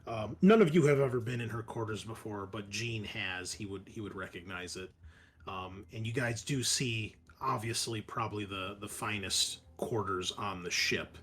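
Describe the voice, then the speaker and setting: A man improvising descriptive, halting narration as the game master of a tabletop roleplaying session. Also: lowish voice, fairly bright tone.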